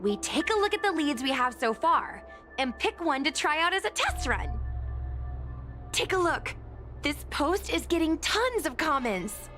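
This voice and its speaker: A woman's voice: sits high, very animated, a little slow, pushed and bright, soft background music, heavily acted. A woman voicing a bubbly, enterprising young girl in a video game, chatty and confidently persuasive. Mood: eager, persuasive. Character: bubbly, enterprising young ghost-hunting girl, eager for followers. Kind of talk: animated video game voice acting.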